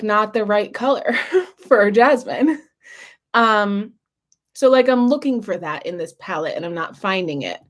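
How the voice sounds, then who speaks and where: Husky, hoarse woman vlogger. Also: fairly high pitch. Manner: chatty, frequent throat clearing and fillers. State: hoarse, losing her voice. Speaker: beauty vlogger. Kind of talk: casual makeup review chat.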